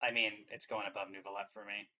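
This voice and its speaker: A man's voice: lowish voice, flat delivery, fast, pushed and bright, low-quality recording. A man hosting a reaction video in a casual, chatty style.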